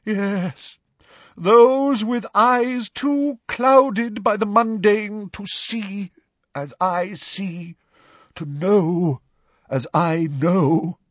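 A man voicing a theatrical older woman teacher in an audiobook, high-pitched and wavering, melodramatic and wounded. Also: drawn-out words, no room sound, dull audio, heavily acted. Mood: dramatic, mournful. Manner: melodramatic, self-pitying, grandly wounded. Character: hysterical, theatrical older female divination teacher.

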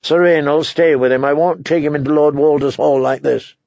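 A man's voice: fairly high pitch, fairly even intonation, fairly quick, creaky, a little mellow, dry recording, lo-fi sound. A man voicing a guarded young king with a firm, commanding manner in an audiobook.

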